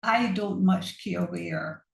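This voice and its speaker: A woman's voice: on the low side, takes it slowly, vocal fry, recorded from a distance, exaggerated voice. A Barbadian woman reading the lines of a polite, curious young girl from a storybook. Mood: asking. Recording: roomy echo.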